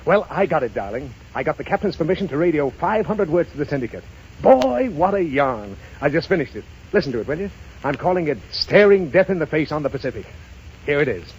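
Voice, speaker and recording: High-pitched, brash newspaper adventurer. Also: male voice, sing-song, brisk, darkish tone. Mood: excited, boastful. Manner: boastful, breezy, hearty. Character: brash, excitable, self-dramatizing newspaper adventure reporter, a husband. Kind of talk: 1930s radio acting. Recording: muffled, hissy 1930s radio broadcast.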